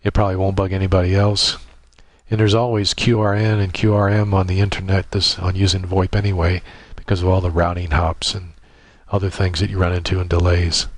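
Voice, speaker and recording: Deep voiced guy walking thru software setup, casual n a bit halting, dry close mic. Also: level tone, no room sound. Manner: informal, halting step-by-step explaining. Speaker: tutorial presenter. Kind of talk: casual software tutorial narration.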